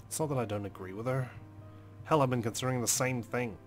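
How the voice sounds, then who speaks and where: A man's voice: medium pitch, fast, soft background music. A man hosting a let's play, reading a visual novel's story aloud as dramatic, brooding narration.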